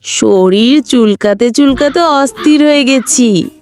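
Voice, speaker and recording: A woman's voice: sits high, takes it slowly, darkish tone, faint music behind, pitched above the performer's own voice. A woman voicing a distressed, complaining mother of a naughty boy in a cartoon. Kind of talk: cartoon dialogue.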